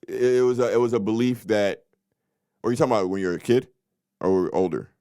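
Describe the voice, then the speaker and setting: A young man co-hosting a podcast, telling stories in a loose, animated way and acting out what people said. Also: lowish voice, fast talker, smooth, dry recording, studio quality. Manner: animated storyteller, acts out quotes. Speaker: podcast co-host. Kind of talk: casual storytelling chat.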